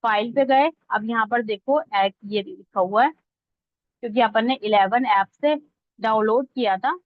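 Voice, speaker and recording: A woman's voice: on the high side, measured pace, dry recording. An Indian woman giving an informal live step-by-step tutorial as an online instructor, checking in with her learners as she goes. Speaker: online instructor teaching mobile video editing. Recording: dull, lo-fi, close dry audio.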